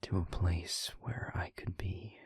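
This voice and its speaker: A man playing a weary, brooding but protective demon in an intimate audio roleplay, speaking in a husky half-whisper with halting, formal phrasing. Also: low-pitched, steady tone, dry recording, full character voice. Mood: reluctant, somber. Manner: slow, halting, formal phrasing with heavy pauses. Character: weary, brooding male demon, gravely guarded yet protective.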